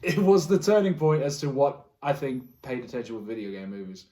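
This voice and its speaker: A man's voice: medium pitch, sing-song, fairly quick, mellow. A man co-hosting a podcast, chatting casually about films with friends in an echoey room. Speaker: podcast co-host.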